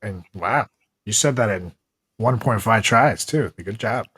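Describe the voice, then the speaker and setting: A man in a casual comedic podcast chat, telling stories in a profane, animated way. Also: lowish voice, measured pace, breathy edge, dry recording. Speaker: podcast host.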